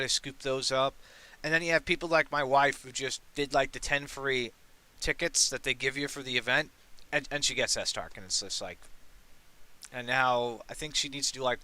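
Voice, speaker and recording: A young man hosting a casual gaming podcast. He talks in an excitable, rambling way and swears casually. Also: mid-range voice, smooth. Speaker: podcast host.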